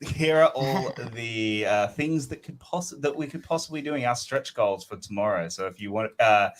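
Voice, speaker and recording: A man's voice: fairly low pitch, fairly bright tone. A man hosting a casual online panel show, wrapping up with rambling restarts. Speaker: podcast host.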